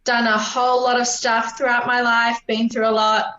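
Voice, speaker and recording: Bright-voiced New Zealand woman in her twenties. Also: on the high side, level tone, normal speed, roomy sound, lo-fi sound. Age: 20s. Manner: open, reflective, many "um" fillers. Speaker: podcast guest. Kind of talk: casual conversational storytelling.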